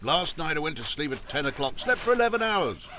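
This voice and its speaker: Swooping, grumbling older uncle. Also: male voice, on the high side, fairly quick, slight background noise. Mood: grumbling, exasperated. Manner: complaining, animated, stammering. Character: fretful, grumbling older uncle, weary of country life. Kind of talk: naturalistic audio drama acting.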